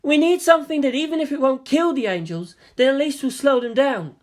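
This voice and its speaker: Woman as earnest young male technician, raised pitch. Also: a little fast, a little mellow, lightly acted. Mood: urgent. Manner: crisp military reporting.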